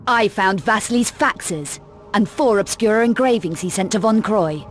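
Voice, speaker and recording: A woman's voice: medium pitch, lively intonation, unhurried, close to an everyday voice. An actress playing a tough, cool, sardonic young female adventurer in a video game, speaking in a curt, dry, probing manner. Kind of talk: dramatic video game cut-scene acting. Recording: loud music under the voice.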